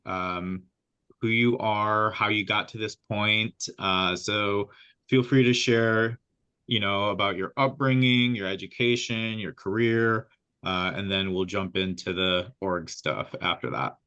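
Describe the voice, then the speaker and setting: Man, podcast host, lowish voice, relaxed fillers, muffled lo-fi sound. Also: measured pace, smooth. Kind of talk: interview hosting. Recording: dull lo-fi audio.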